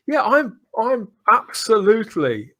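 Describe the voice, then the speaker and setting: A man's voice: high-pitched, pushed and bright, slightly rough. A British man hosting a casual fan podcast, chatty and often repeating phrases as he builds a point. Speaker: podcast host.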